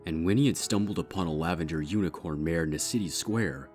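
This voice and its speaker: A man reading dark fiction as a scripted narrator, measured and with dramatic pauses. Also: low-pitched. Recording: music behind the voice.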